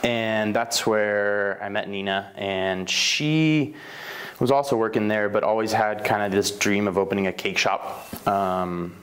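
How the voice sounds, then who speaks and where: A man with a fairly low voice talks through his background in an oral history interview. He is relaxed and meandering, often trailing off with fillers and correcting himself mid-sentence. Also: a little slow. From Slovenia. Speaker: bakery owner, interviewee.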